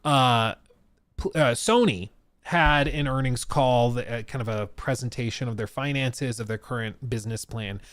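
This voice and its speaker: Male podcast host, chatty and informal livestream commentary, slangy, slightly low voice, dry close sound. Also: normal speed, a bit bright, no echo. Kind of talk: casual livestream gaming news commentary.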